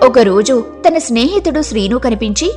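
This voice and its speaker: A woman's voice: fairly high pitch, lively intonation, moderate pace, darkish tone, soft background music. A woman narrator reading a scripted moral story in a storytelling style.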